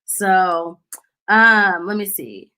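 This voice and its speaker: A woman's voice: medium pitch, expressive, drawn-out words, sharp tone. A woman co-hosting a casual live hangout, informal and agreeable, reading out viewer comments as she chats.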